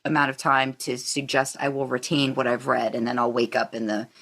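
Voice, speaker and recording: A woman's voice: rumbling low, level tone, brisk, fairly bright tone. A woman hosting a podcast, chatting casually and thinking aloud in a rambling way. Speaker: podcast host. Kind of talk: casual interview chat.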